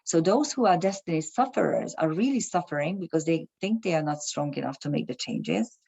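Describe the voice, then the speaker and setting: A woman's voice: low voice, expressive, brisk, vocal fry, darkish tone, husky. A woman, an astrologer explaining ideas to her viewers in a hesitant way, with many fillers and restarts. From Germany. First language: Hungarian. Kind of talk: casual talk to camera.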